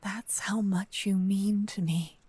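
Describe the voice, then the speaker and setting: A woman voicing a gentle, possessive, seductive female vampire lover in a breathy, intimate whispered ASMR roleplay, close to a binaural microphone. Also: mid-range voice, fairly even intonation, no room sound. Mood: seductive, tender. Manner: slow, intimate, lingering delivery with solemn romantic phrasing. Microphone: close binaural microphone, ear to ear.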